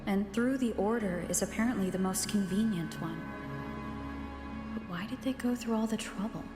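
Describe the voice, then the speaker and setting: A woman's voice: mid-range voice, moderate pace. An actress playing a pensive woman reasoning to herself in a video game, reflective and questioning, with a reverberant inner-thought effect. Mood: pondering. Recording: heavy echoing reverb, music behind. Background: background music.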